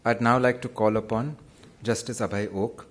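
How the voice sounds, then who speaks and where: A man's voice: medium pitch, steady tone, normal speed. A man, moderating an event and courteously calling the next speaker to the podium in a large auditorium. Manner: brief, courteous. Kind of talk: formal introduction.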